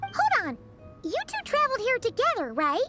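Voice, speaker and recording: A woman voicing a tiny, excitable, inquisitive fairy companion in a squeaky childlike voice for a video game. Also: moderate pace, over loud music, exaggerated voice. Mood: puzzled.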